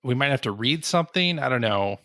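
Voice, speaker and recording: A man hosts a quiz in a casual, chatty way. His voice is medium in pitch with a bright, crisp edge. The recording is dry. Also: expressive, fairly quick, dry recording. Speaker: podcast host running a quiz. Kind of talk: casual chat.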